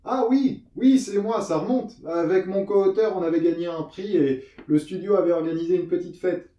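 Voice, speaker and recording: A man's voice: sits high, normal speed, mellow, muffled recording, natural voice. A man playing a talkative, jittery screenwriter in an audio drama, colloquial and a little hesitant. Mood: musing. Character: chatty, jittery male screenwriter, politely hospitable.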